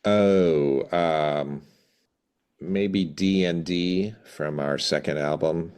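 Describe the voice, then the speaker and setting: A middle-aged man with an extremely low voice speaks as an interview guest. He tells stories reflectively, often pausing to search for the right words. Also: a little mellow, smooth. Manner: thoughtful, measured, pausing to find words. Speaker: podcast guest, rock band drummer.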